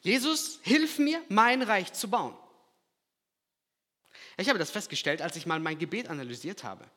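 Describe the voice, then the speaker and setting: Animated preacher in a reverberant hall. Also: male voice, sits high, unhurried. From Kazakhstan. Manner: animated, swings from loud emphasis to quiet asides. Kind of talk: sermon.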